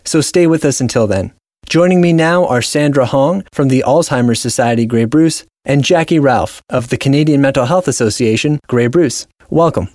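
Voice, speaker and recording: A man's voice: medium pitch, moderate pace, a little mellow, dry recording, clean studio sound. A man hosting a post-show interview, speaking in a scripted, presentational style. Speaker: host.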